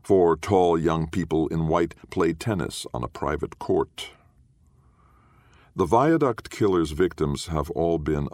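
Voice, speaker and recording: A man reading literary fiction as an audiobook narrator, in a measured, solemn storytelling manner. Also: sits low, a little mellow, no echo, studio quality.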